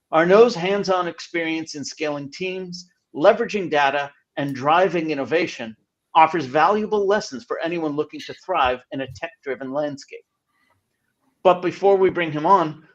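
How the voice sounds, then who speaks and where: A man hosting a business talk show, reading a polished introduction and questioning his guests over a video call. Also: on the high side. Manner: upbeat, polished announcer delivery. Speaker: podcast host. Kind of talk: scripted show introduction and interviewing. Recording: dull lo-fi online call audio.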